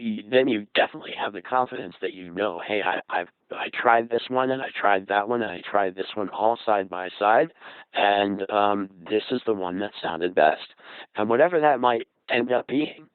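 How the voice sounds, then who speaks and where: A man's voice: lowish voice, normal speed, clear, resonant tone, no echo. A man speaking as a guest in a conversational panel discussion, explaining things with everyday examples, heard through a narrow radio transmission. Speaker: panel guest on voice-over audio.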